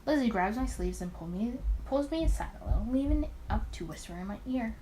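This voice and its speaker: A woman with a fairly high voice narrates a story game. She stumbles now and then and rereads phrases, adding her own asides. There is noise in the recording. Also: lively intonation, quick pace, mellow, poor audio. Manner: stumbles over written lines and rereads them. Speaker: gaming video host reading a visual novel aloud. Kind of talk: read-aloud narration with side comments.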